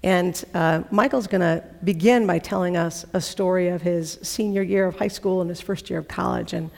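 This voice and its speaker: A chapel host with a hoarse, gravelly voice, talking in a chatty, playful way with plenty of uhs. The voice sits at a middling pitch and rings out through a big hall with a long echo trailing after each phrase. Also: fairly even intonation, moderate pace, darkish tone. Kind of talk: chapel service introduction. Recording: large hall with long reverb.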